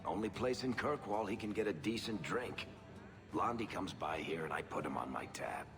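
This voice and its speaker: An actor playing a roguish, world-weary tavern storyteller in a video game, glib, wry and familiar. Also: male voice, low-pitched, animated, moderate pace. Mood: wry, teasing. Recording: long hall reverb, music behind.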